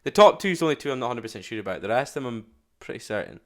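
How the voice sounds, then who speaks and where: A man giving casual, slangy reaction commentary as a streamer, with blunt loud outbursts. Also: medium pitch, sing-song, racing.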